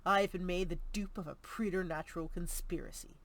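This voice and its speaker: A woman voicing a grim, bitter old general in an audiobook, gruff, testy and direct. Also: lowish voice, fairly even intonation, a little fast, close to an everyday voice. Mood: grim, intense. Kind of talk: audiobook character reading.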